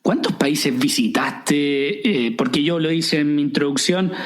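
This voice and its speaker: A man hosts an interview podcast, asking his guest curious, brief follow-up questions. His voice sits a little high. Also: unhurried. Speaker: podcast host. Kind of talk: conversational interview.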